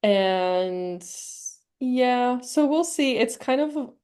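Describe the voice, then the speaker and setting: A woman's voice: on the high side, expressive, drawn-out words, a little mellow, smooth. A woman co-hosting a casual podcast, teasing her friend and trailing off while she thinks. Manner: playful teasing, hesitant when thinking. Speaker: podcast co-host. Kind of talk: casual chat.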